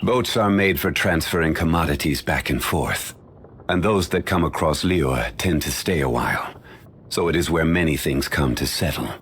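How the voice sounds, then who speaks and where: An actor voicing a dignified, ancient, learned man in a video game, speaking in a measured, formal and courteous way. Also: very deep voice, a bit bright, a little hiss or hum. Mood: calm, formal.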